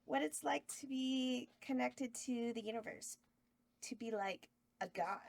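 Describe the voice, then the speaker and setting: A woman's voice: a little high, measured pace, vocal fry. A woman reading a flirty, bawdy horror hostess at a table read. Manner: bawdy, giggly. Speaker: cast member in table read.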